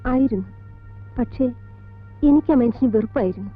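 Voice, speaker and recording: An actress playing a composed middle-aged college teacher in a film drama, speaking in a measured Malayali accent with deliberate pauses between short phrases. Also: female voice, fairly high pitch, close to an everyday voice. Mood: sombre, reflective. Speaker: actor in a film. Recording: tinny band-limited old film soundtrack, music behind.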